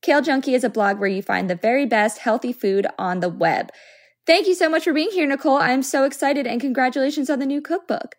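A woman's voice: sits high, brisk. A woman in her thirties hosting a podcast, friendly and bubbly as she interviews a guest. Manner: bubbly, warm, laughs easily. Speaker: podcast host.

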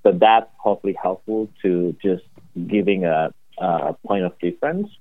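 A man's voice: low-pitched, moderate pace, no echo. A man from Indonesia with an Indonesian accent, a company executive answering interview questions earnestly with many fillers. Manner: earnest, filler-heavy, upbeat. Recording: tinny phone-line audio.

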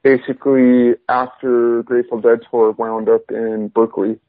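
A man from Southern California chatting on a podcast, quick to agree and react. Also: medium pitch, little pitch movement, dry recording. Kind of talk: casual podcast chat. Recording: tinny phone line, dry.

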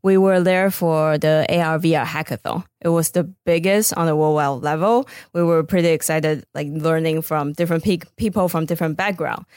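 A woman's voice: low-pitched, fairly even intonation, moderate pace, hardly any pauses, a little mellow, clean tone, dry recording, clean studio sound. A woman from Taiwan with a Taiwanese accent, a native Mandarin speaker, casually explaining her work as an interview guest. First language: Mandarin Chinese. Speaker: startup co-founder, podcast guest.

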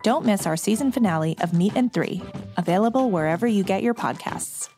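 A woman with a medium pitch reading scripted podcast trailer narration with music behind her. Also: animated, fairly quick, dark tone.

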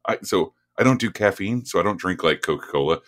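A man's voice: low voice, expressive, fairly quick, a bit bright. A man co-hosting a podcast who chats in a casual, joking way and often stops and restarts. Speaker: podcast co-host.

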